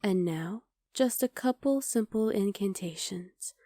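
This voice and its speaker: A woman voicing a scheming, possessive witch in an ASMR roleplay, speaking softly and often half-whispering close to the mic. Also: medium pitch, expressive, measured pace, dry recording. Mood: coaxing. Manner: soft, intimate, coolly calculating, often half-whispered. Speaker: voice actor.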